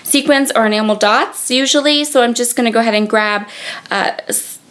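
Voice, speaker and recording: A woman's voice: fairly high pitch, fairly quick, fairly bright tone. Young woman with a cold, sniffly, chatty craft voice-over, lots of ums. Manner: chatty, frequent ums and restarts. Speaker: scrapbooking video creator. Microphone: tablet on a gooseneck.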